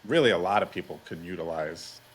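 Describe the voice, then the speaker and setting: A man with a low voice hosts a podcast interview. He introduces and questions in a conversational style. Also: a little fast, husky.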